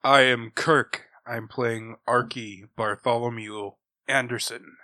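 Bright male player joking at the game table. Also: mid-range voice, steady tone, slow, no room sound. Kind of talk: casual joking table chat.

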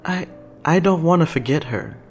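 A man's voice: mid-range voice, measured pace, darkish tone, soft background music, pitched above the performer's own voice, lightly acted. Man voicing Vincent, hesitant, earnest boy. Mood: hesitant, pleading. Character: Vincent, earnest vulnerable boy in older body.

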